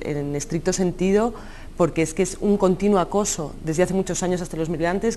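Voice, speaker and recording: A woman's voice: deep voice, darkish tone, a little hiss or hum. A woman lawyer speaking firmly and insistently as a guest in a studio debate. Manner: firm, insistent.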